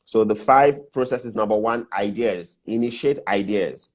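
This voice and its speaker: A middle-aged man with a Nigerian accent and a fairly low voice. He explains things step by step, numbering his points and hammering them home with repetition, in the easy tone of a casual interview. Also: a little slow. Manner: emphatic, lists steps and repeats key points. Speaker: guest business mentor.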